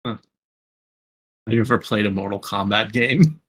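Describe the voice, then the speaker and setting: A man's voice: lowish voice, moderate pace, fairly bright tone, breathy edge. A man in a casual group podcast, speaking hesitantly as he thinks things through aloud. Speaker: podcast panelist and fan voice actor.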